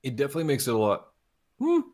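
A man's voice: medium pitch, huge pitch swings, very fast, a little mellow. A man co-hosting a casual movie podcast, rambling with lots of restarts and frequent laughter. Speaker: podcast co-host. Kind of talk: casual movie review chat.

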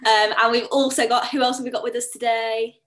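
Brummy young woman chatting on a podcast, bit high voice, lots of um and like. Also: little pitch movement, a little fast, a bit bright. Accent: Birmingham. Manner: chatty, many fillers. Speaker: podcast co-host. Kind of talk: casual chat.

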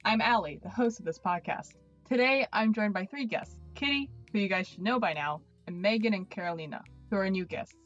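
A woman's voice: medium pitch, expressive, brisk, fairly bright tone, highs cut off, faint music behind. A woman hosting a podcast, upbeat and encouraging as she leads the chat. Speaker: podcast host. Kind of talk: casual chat.

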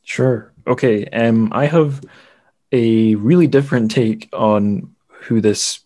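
A man hosting a podcast, discussing books casually in a halting, thinking-aloud way. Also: medium pitch, warm tone. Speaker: podcast host.

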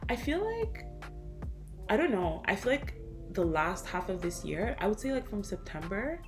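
A woman's voice: mid-range voice, sing-song, moderate pace, music in the background. A woman in her twenties co-hosting a casual chat with a friend, slangy, teasing and easygoing. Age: 20s.

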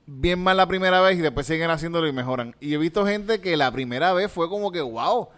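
Easygoing Puerto Rican man, higher pitch. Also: brisk. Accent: Puerto Rican Spanish. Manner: agreeable, relaxed, swears. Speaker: comedian podcast co-host. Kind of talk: casual podcast chat.

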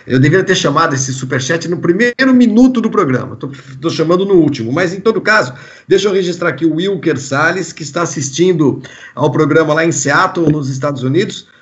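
A man's voice: fairly high pitch, lively intonation, brisk, muffled recording. A man hosting a live online show, chatty and self-deprecating as he reads out viewer messages.

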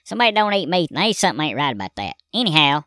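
A rambling Southern redneck husband, drawls out his gripes in a folksy comic monologue. The voice is bright and smooth. It is recorded close in a dry booth. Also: mid-range voice, very animated, racing, no echo. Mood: grumbling folksy. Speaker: recurring comedy character. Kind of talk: comedic character monologue.

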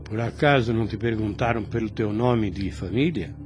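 A man's voice: on the low side, normal speed, a little mellow, lightly acted. A man playing a kindly, fatherly older priest in a radio soap opera, with formal and solemn phrasing. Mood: curious, concerned. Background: music under the voice.

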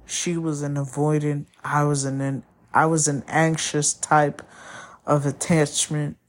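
Slow, low-voiced woman speaking openly. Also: flat delivery. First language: Spanish. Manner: candid, searching for words. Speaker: podcast host. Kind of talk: personal monologue.

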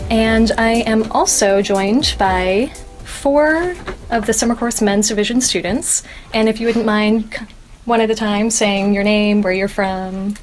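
A woman's voice: mid-range voice, fairly even intonation, unhurried, soft background music. A young woman hosting a podcast interview, speaking enthusiastically and laughing often. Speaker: interviewer host.

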